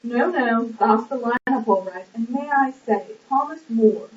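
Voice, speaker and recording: A woman's voice: fairly high pitch, unhurried, close to an everyday voice. Woman playing a blunt, indignant duke, echoey room. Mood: stubborn. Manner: blunt, exclamatory, argumentative. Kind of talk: stage-play acting in an amateur puppet show. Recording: echoey, muffled, distant lo-fi recording.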